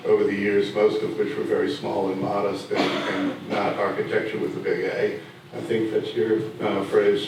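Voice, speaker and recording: A man speaking on an architecture panel in a steady, even way with some fillers. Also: lowish voice. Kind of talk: panel talk. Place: large event room. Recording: echoey room, distant mic, faint noise.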